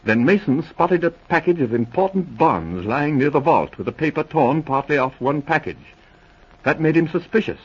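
An actor playing an eager, excitable police officer giving urgent reports in a 1940s radio drama. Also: male voice, a little high, normal speed, breathy edge. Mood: earnest reporting. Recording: muffled old radio recording.

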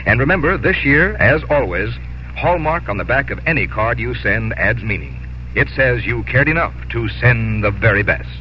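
Male announcer, folksy, conversational, scripted 1950s sponsor pitch, dull sound, faint noise. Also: animated, normal speed. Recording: dull, noisy 1950s radio broadcast.

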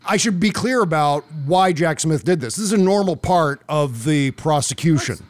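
Male American political podcaster, a touch high in pitch, conversational and emphatic, recorded very dry. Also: expressive, moderate pace, no room sound. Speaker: podcast host. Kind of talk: casual political commentary.

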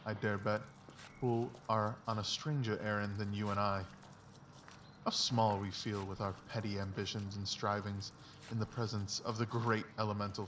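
A man playing a brilliant, confident, philosophical detective in a dramatized audiobook, explaining things in a measured, self-assured way. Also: on the low side, a bit bright, far from the mic, a little hiss or hum, natural voice. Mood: musing, philosophical.

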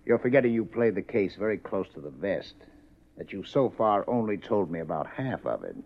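A deep-voiced police lieutenant in a vintage radio detective play. His delivery is dry and needling, yet it rises and falls widely. The recording is muffled, with faint noise. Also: very animated, brisk. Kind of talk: 1950s radio drama acting. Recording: dull, faintly noisy 1950s radio recording.

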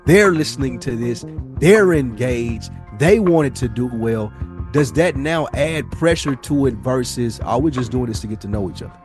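A man's voice: medium pitch, animated, moderate pace, over music. A man co-hosting a podcast in casual conversation, speaking emphatically with slang and often rephrasing his points. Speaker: podcast co-host.

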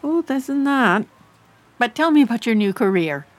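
A woman's voice: on the high side, animated, normal speed, no room sound, close to an everyday voice. An actress playing an anxious, prying middle-aged mother in an audio drama, fussing and rambling as she talks. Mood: evasive. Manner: fussing, rambling, hesitant when defensive. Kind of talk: naturalistic audio drama dialogue.

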